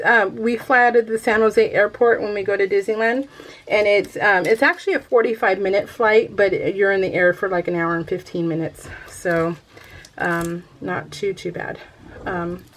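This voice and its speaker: A woman's voice: medium pitch, low-quality recording. Casting: American female craft vlogger, mid-range voice, informal and giggly, rambling delivery with self-deprecating asides and unfinished sentences. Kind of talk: casual chat to viewers while showing a craft project.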